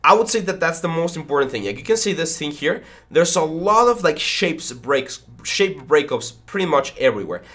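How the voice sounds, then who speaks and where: A man's voice: fairly high pitch, sing-song, moderate pace, few pauses, a bit bright. A man in his thirties, a little congested, teaching 3D modelling in a casual tutorial, rambling with lots of fillers and stammers, recorded on a headset mic in a small home studio. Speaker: 3D modelling instructor. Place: small home studio room with foam panels. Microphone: headset with boom mic.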